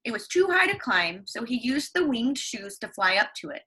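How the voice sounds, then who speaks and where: A woman's voice: fairly high pitch, sing-song, moderate pace, pushed and bright, breathy edge. A woman teacher reading a story aloud to her class, chatty, with lots of fillers and questions to the children.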